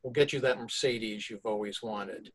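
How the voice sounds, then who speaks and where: A man's voice: fairly low pitch, moderate pace, noisy background. Inquisitive male prescriber asking questions. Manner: inquisitive, repeats words while thinking. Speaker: pediatric prescriber interviewing a guest. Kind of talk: conversational interview.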